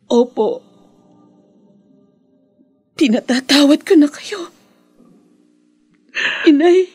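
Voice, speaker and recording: An actress playing a devout, forgiving grown daughter in a Filipino radio drama, speaking Tagalog earnestly with deep feeling and a Filipino accent. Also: female voice, lively intonation, warm tone, faint music behind, heavily acted. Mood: tearful, pleading. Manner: slow, earnest, emotional delivery. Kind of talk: Filipino radio soap opera acting.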